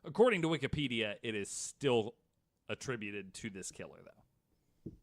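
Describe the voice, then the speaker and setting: A man's voice: medium pitch, lively intonation, moderate pace. An American man co-hosting a podcast, telling a story from notes with casual banter. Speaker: podcast co-host.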